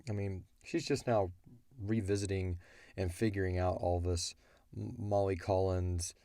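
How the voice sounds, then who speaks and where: A man with a deep, gravelly voice discusses a story casually. He rambles in a colloquial way, and the sound is close and dry. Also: fairly even intonation, unhurried, dark tone, dry recording. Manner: rambling, colloquial, often voicing characters' thoughts. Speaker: audio drama creator answering listener questions.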